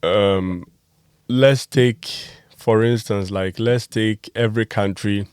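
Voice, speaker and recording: A lower-voiced man from Ghana hosts a casual podcast, moving the discussion forward and prompting his guests. His voice sits below the middle range. The recording is dry and close. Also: steady tone, slow pace, darkish tone, clear, resonant tone, no echo. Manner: steering the talk, with frequent affirming murmurs. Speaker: podcast host.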